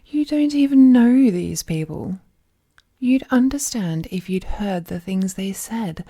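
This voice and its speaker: A woman playing a ruthless, possessive, all-powerful being in an intimate audio drama, speaking with languid, condescending affection and often dropping into a breathy whisper. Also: mid-range voice, mellow, full character voice.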